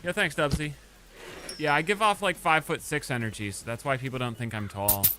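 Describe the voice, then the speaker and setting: A male streamer chatting casually with his live chat while playing a game, swinging from mumbled asides to loud outbursts. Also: medium pitch, a little fast, very noisy. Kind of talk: casual gaming livestream chat.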